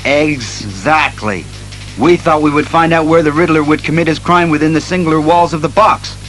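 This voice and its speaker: An actor playing an earnest, methodical masked detective hero who reasons aloud in a declamatory audio drama style. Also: male voice, a little high, fairly bright tone, soft background music, close to an everyday voice. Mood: earnest, resolute. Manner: deliberate, declamatory deduction.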